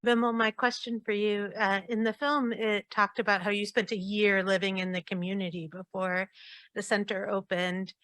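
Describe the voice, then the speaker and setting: A woman with a mid-pitched voice asks a long, considered question from the audience. She speaks politely and thoughtfully. The sound is dry and close. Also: flat delivery, moderate pace, a bit bright, dry recording. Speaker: audience member.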